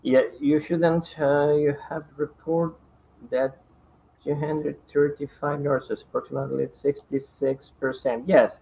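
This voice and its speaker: A man's voice: a little high, little pitch movement, a little slow, gravelly, a little hiss or hum. A middle-aged man from Argentina with a Spanish accent, presenting over a video call in hesitant English full of fillers. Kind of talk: online conference presentation. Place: home study with bookshelves. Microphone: webcam video call. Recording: dull lo-fi video call audio.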